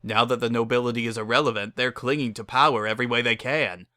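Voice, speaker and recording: A narrator performs a hot-headed storybook pony, blunt and stubborn. The delivery is gruff and forceful at an ordinary mid pitch, in a dry, close sound. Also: fairly even intonation, fast talker, dry recording, close to an everyday voice. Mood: scornful.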